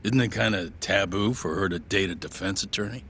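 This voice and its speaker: A man's voice: low-pitched, brisk, fairly bright tone, a little hiss or hum. A man voicing a blunt, teasing friend in a video game cutscene.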